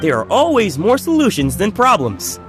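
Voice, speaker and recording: A man's voice: high-pitched, huge pitch swings, normal speed, over music. An actor playing a reassuring, supportive man from a bazaar in a game.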